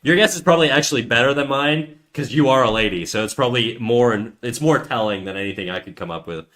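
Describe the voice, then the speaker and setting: A man's voice: medium pitch, fast, fairly bright tone. A man chatting casually on a livestream while he draws. He rambles, swears freely, mocks himself and often restarts his sentences. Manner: rambling, profane, self-mocking, with frequent restarts. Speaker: livestreamer and artist.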